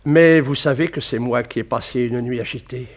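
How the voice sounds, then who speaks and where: An actor playing a smitten, unhinged asylum doctor in a French radio serial, courtly, insistent and excitable. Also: male voice, medium pitch, moderate pace, lightly acted. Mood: smitten, wheedling.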